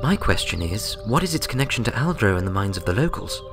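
A man voicing a studious, careful young female unicorn pony in a narrated story, measured and thoughtful. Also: on the low side, very animated, music in the background. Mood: pondering.

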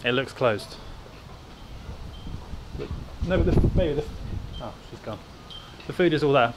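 Animated man chatting over loud street noise. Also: mid-range voice, measured pace. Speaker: travel vlogger. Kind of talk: casual walking chat. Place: outdoors on a busy beachfront street. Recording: very noisy outdoor street recording. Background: loud street ambience and traffic.

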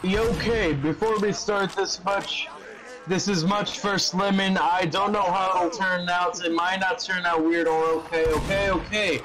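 A man talking and reading aloud in casual game voice chat, halting and sweary. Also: high-pitched, steady tone, background noise. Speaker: player reading fan fiction aloud in an online game.

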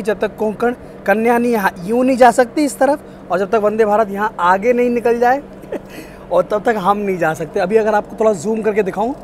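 A young man chatting excitedly to viewers while filming himself on a train journey, recorded on a phone held at arm's length. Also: high-pitched, a little hiss or hum. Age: young adult. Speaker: train travel vlogger. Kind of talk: casual travel vlog. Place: train carriage and outdoor station platform.

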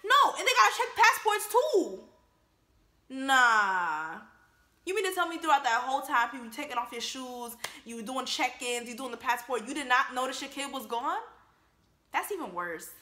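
A young woman chatting through a movie commentary in a high, lively voice that swings up and down a lot. Her tone is bright and bubbly, with plenty of laughter and slang. It is recorded on an earphone mic in a bare, echoey room. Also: moderate pace. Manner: animated, laughing, slangy asides. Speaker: YouTuber reacting to a film. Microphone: wired earphone mic.

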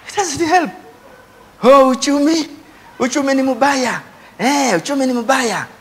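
A middle-aged Kenyan man preaches from a church stage in a high-pitched voice. His delivery is emphatic, repeating key phrases to drive them home. He speaks with a Kenyan accent into a handheld microphone. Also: a little slow. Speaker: pastor. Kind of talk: animated church sermon with Swahili asides. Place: church stage before a congregation.

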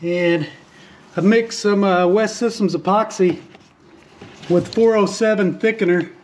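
A man narrating his boat repair as he works, in a relaxed, plainspoken way with many "uh" fillers and restarts. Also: high-pitched, a little slow. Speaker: boat refit vlogger. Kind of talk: casual how-to narration while working. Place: outdoors on a sailboat deck.

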